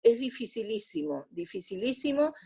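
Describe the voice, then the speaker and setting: A woman's voice: a little high, very animated, normal speed, creaky, warm tone, slightly rough, dull audio. An Argentine woman answering as an interview guest in a conversational, explanatory way, often rephrasing mid-sentence. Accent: Argentine Spanish. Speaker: interview guest, radio drama researcher.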